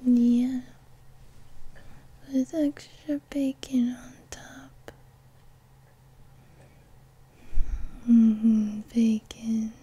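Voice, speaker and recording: A woman playing a sleepy, affectionate young girlfriend in an intimate ASMR roleplay, soft and breathy, often whispering, with a coaxing, playfully bossy murmur. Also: fairly high pitch, steady tone, exaggerated voice. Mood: drowsy contentment. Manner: drowsy, slow, murmuring, coaxing.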